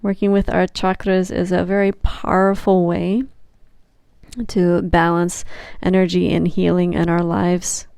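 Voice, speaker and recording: A woman leading a guided meditation as a yoga nidra teacher, speaking gently and soothingly with long, unhurried pauses. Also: lowish voice, creaky, clean tone, dry recording, clean studio sound.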